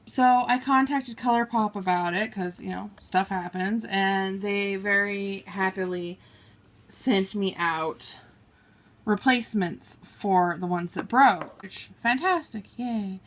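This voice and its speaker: A woman's voice: medium pitch, slow pace. A woman vlogger chatting casually through a makeup haul, swatching shades on her arm and apologizing for mispronouncing names. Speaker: beauty vlogger. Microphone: camera on a table, filming her hands.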